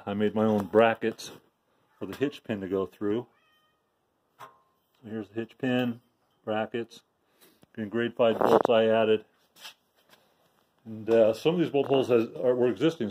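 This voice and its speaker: A man giving a casual, unscripted walkthrough of a home garage project, plain and unhurried with frequent restarts and fillers. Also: lowish voice, darkish tone. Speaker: DIY video maker. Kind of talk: casual show-and-tell walkthrough. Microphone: handheld phone camera, speaker off screen.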